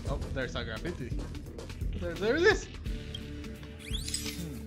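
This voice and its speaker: A man's voice: fairly high pitch, wildly swooping pitch, slow. A man giving casual, joking and teasing commentary while playing a game. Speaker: gaming streamer. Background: game music.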